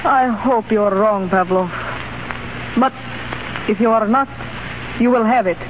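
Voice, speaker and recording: A woman's voice: a little high, a little slow, lightly acted. An actress as a torn woman spy. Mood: resigned. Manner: pleading, emotional, formal speech. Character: torn Russian woman spy Elena, loving yet loyal. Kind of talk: 1930s radio drama acting. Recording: tinny, hissy 1930s radio broadcast.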